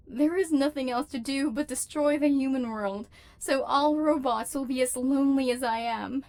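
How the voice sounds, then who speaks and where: A woman voicing a lonely, wounded female robot overlord in an ASMR roleplay, speaking in formal, measured, machine-like phrases, guarded but yearning. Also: high voice, a little mellow, no echo. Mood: bleak, resolute.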